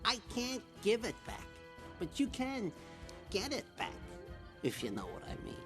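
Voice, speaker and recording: A sly schoolyard bully from a cartoon, played by a woman, talking in threats and slang. The pitch moves about a great deal, and music plays clearly behind. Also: wildly swooping pitch, measured pace. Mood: menacing.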